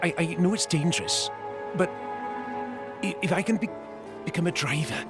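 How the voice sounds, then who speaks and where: Man as a nervous, high-voiced young big brother. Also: expressive, normal speed, lightly acted. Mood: nervous, pleading. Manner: hesitant, stammering. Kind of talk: video game cutscene acting. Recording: music clearly behind the voice.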